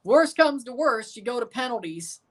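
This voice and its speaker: A man's voice: very high voice, animated, normal speed, a bit bright. A man in his twenties hosting a live match watchalong, talking casually and joking with his viewers between calls of the play. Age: 20s. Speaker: livestream host.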